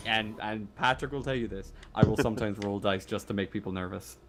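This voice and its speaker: A man running a tabletop roleplaying game as game master, improvising narration in a halting way, searching for words. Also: lowish voice, over a noisy crowd.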